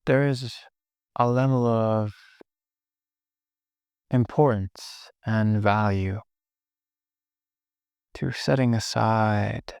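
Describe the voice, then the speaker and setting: A man leading a guided meditation in short, gently instructive phrases with long pauses between them. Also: lowish voice, fairly even intonation, vocal fry, smooth, no room sound, studio quality.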